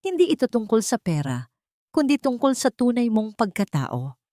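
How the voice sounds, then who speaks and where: A woman's voice: fairly high pitch, big pitch swings, normal speed, mellow, dry recording, clean studio sound, pitched above the performer's own voice. Narrator voicing an anxious woman with grave news. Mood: anxious, solemn. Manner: hesitant, solemn. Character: anxious, hesitant woman bearing a grave secret.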